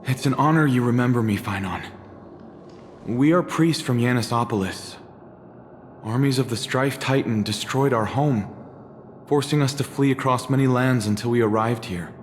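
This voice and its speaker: A weary, humble priest from a fantasy game, acted by a man, with a mid-pitched male voice. He speaks earnestly and respectfully, tinged with sorrow, in long reverb over soft music. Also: normal speed, a little hiss or hum, lightly acted. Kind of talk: dramatic video game voice acting.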